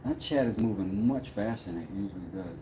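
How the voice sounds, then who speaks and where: Brash low-voiced man streaming from his workshop. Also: brisk, background noise. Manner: brash, trash-talking, then rambling and relaxed. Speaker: woodworking streamer. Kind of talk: casual live-stream chat.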